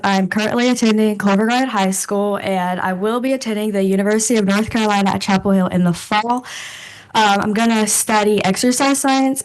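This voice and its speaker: A young woman in her late teens gives brief remarks as a scholarship student. Her voice sits at a mid pitch, and she speaks in a plain, matter-of-fact way. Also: rough recording.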